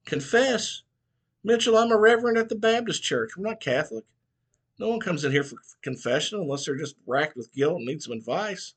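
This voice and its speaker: A man's voice: sits high, lively intonation, a little fast, husky, pitched above the performer's own voice, full character voice. A man voicing a stern Baptist preacher.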